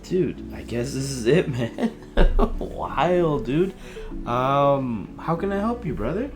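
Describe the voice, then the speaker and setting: A man's voice: medium pitch, big pitch swings, slow, over music. A man playing an easygoing, empathetic, slightly awkward young helpline counselor in a casual audio drama. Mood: friendly, surprised. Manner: casual, hesitant, slangy. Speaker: helpline host.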